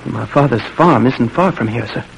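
An actor playing a young, eager, dutiful Confederate soldier in an old radio drama, answering respectfully and earnestly. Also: male voice, mid-range voice, moderate pace, vocal fry, lightly acted, low-quality recording. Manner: respectful, earnest military replies. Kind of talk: 1940s radio drama acting. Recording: old radio recording, faint noise.

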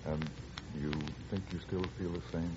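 An actor playing an earnest, lovelorn navy skipper in old-time radio drama, deliberate and halting. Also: male voice, low-pitched, fairly even intonation, natural voice. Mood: hurt, restrained. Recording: muffled, noisy old radio recording.

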